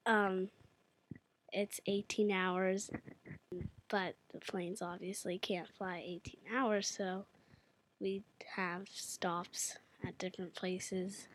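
A woman's voice: mid-range voice, level tone, slow pace. A young woman, the guest's daughter, answering questions hesitantly and with ums.

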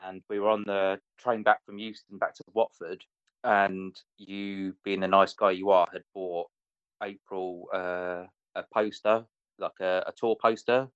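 A man's voice: sits low, a bit bright, smooth, dry recording, muffled recording. A man telling a personal story casually and a little hesitantly, as if to a friend. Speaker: interviewee, friend of the interviewer. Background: guitar music.

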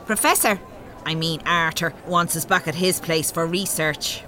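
A woman's voice: lowish voice, moderate pace, sharp tone, a faint crowd. An actress in an audio drama playing a flirty, gushing working-class woman with a Dublin accent, over faint noise. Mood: excited, impressed. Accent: Dublin Irish. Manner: forward, gushing.

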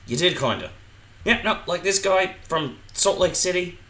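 A man's voice: high voice, normal speed, roomy sound. Guy on a podcast riffing about a movie, voice jumps up and down a lot, loose and jokey. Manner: animated, loose joke-telling with fillers. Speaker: podcast co-host. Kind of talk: casual movie chat.

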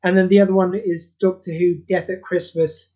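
A man's voice: high voice, little pitch movement, fairly quick, mellow, dull audio. A man hosting a podcast, chatting casually and self-deprecatingly, rambling with many restarts. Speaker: podcast host and audio play creator.

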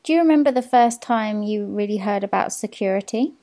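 A woman's voice: mid-range voice, brisk, mellow. A woman hosting a podcast, asking her guest friendly questions. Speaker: podcast host. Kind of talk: podcast interview, read sponsor message.

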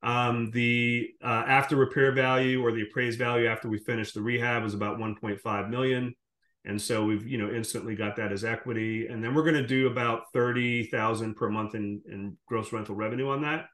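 A man's voice: medium pitch, steady tone, fairly quick, fairly bright tone. A man from the Caribbean speaking as an interview guest, explaining his business in a detailed, conversational way. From Caribbean, born in Guyana and raised in Jamaica. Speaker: podcast guest, short-term rental investor. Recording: roomy, echoing sound.

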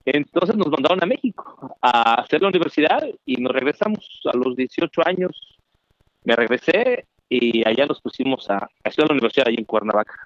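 A middle-aged Mexican man telling his story as an interview guest, animated and chatty with many fillers. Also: on the high side, fairly quick, no room sound. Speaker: guest, founder. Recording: dry, dull remote call audio.

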